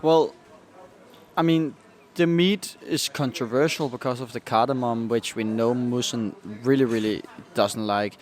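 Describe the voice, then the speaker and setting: A young man co-hosts a casual food podcast and gives frank, critical reviews. His voice is middle-pitched and ordinary. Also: fairly even intonation, a little slow. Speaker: podcast co-host. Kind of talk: casual chat.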